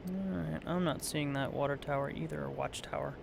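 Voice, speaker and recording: A middle-aged man with a hoarse, raspy voice that sits a little high and crackles with gravel. He thinks aloud at an easy pace while playing a flight simulator game. A faint hiss sits under the recording. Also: fairly even intonation, moderate pace. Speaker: flight sim streamer. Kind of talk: casual gameplay commentary.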